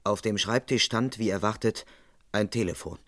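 An actor playing a dutiful, politely flustered police constable, formal and deliberate, narrating and speaking in a crime radio play. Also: male voice, lowish voice, a bit bright, a little hiss or hum, lightly acted. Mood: deadpan narrating.

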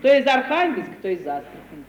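An actress playing a sanctimonious, self-pitying middle-aged widowed landowner in an affected, theatrical radio comedy manner. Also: female voice, on the high side, big pitch swings, muffled recording. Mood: sentimental. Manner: theatrical, affected, drawn-out self-justifying speech. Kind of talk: 1950 Russian stage-comedy radio acting. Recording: echoey hall, noisy 1950 radio recording.

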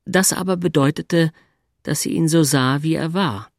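A man's voice: on the high side, normal speed, vocal fry, dry recording, studio quality. An actor playing a plain-spoken, brooding man in love, telling his thoughts in a literary audio drama. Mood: reflective.

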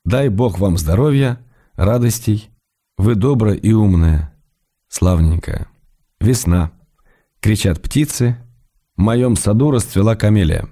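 A wry, tender middle-aged writer. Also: male voice, fairly low pitch, lively intonation, slow pace, pauses often. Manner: dry, gently ironic, tender. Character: middle-aged male writer, gently ironic. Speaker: actor reading letters. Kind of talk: radio play letter reading. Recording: studio radio play with soft music.

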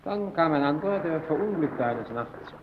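An actor playing a guarded, brooding lighthouse technician in an old radio play, terse, evasive and defensive. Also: male voice, a little high, animated, normal speed, natural voice. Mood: guarded, gloomy. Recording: dull, noisy old radio recording.

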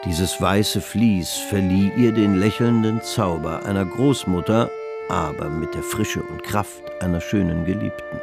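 An actor as the composed narrator of a German radio drama, telling a period novel in literary, scripted style. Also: male voice, low-pitched, a little slow, vocal fry, over music. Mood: composed, descriptive.

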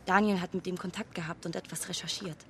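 A woman's voice: fairly low pitch, fairly even intonation, fast, slight background noise, lightly acted. An actress playing a young, grieving and tense woman in a naturalistic radio drama, talking informally in clipped phrases. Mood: troubled, cooperative. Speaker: actor in a radio play.

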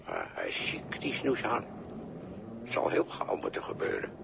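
A folksy beer-drinking bystander in an old sixties radio play, with a gravelly, lowish voice that rises and falls freely. He is evasive and callously matter-of-fact, talking in halting, colloquial bits over a noisy vintage recording. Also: wildly swooping pitch, exaggerated voice. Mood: jovial, evasive. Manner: halting, colloquial, with tags and repeats. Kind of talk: 1960s Dutch radio drama acting.

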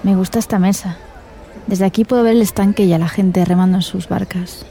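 A woman's voice: medium pitch, steady tone, brisk, mellow, close to an everyday voice. An actress playing a playful, flirtatious woman from Spain in an intimate radio drama, with a Spanish accent and a teasing manner. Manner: teasing, coquettish. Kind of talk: radio drama acting, intimate phone conversation.

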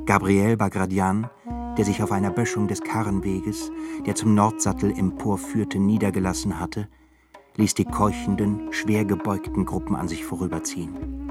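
Male narrator, literary radio play, formal measured reading, low-ish voice, music behind. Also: level tone.

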